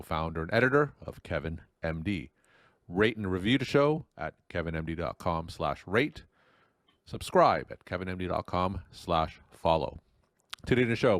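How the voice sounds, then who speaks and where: A man's voice: sits low, no room sound, clean studio sound. A man hosting a podcast, reading a prepared intro and putting questions to guests. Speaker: podcast host. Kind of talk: scripted intro and interview questions.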